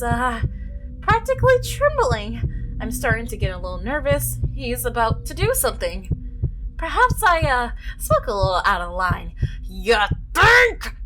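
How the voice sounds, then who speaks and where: A woman's voice: a little high, big pitch swings, a little slow, over music, poor audio. A woman playing a visual novel, reading it aloud and reacting expressively, exclaiming and laughing at the story. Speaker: let's play gamer.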